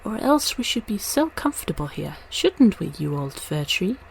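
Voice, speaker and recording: Lady doing a curious lil mouse from a storybook, eager, kinda squeaky, bit of noise behind. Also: very animated, normal speed, gravelly, rough recording. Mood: curious, chatty. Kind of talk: storybook character voice.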